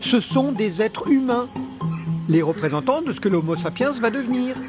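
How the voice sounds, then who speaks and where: An actor playing a suave, grandiose doctor villain in an audio drama, speaking in a formal, measured, persuasive way. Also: male voice, extremely high pitch, animated, music in the background. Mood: persuasive, grave. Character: suave, grandiose male doctor villain ruling a survivors' ark. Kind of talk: scripted audio drama acting.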